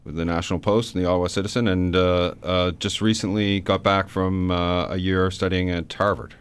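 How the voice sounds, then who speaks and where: A man with a low, smooth, resonant voice speaks as a guest in a radio studio. He talks in a relaxed, halting way, with plenty of "uh"s. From Canada. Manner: relaxed, hesitant, many fillers. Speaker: author guest. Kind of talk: radio interview.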